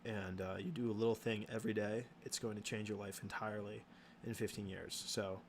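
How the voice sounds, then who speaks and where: Gravelly young man thinking aloud. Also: lowish voice, flat delivery, slight background noise. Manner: halting, thinks aloud with many ums and restarts. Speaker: livestream host answering chat questions. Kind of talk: casual livestream Q and A.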